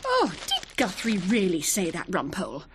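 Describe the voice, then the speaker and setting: An actress playing a disapproving, imperious older English wife in a radio drama, arch and reproachful. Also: female voice, fairly low pitch, wildly swooping pitch, moderate pace, background noise. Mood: arch, scandalised.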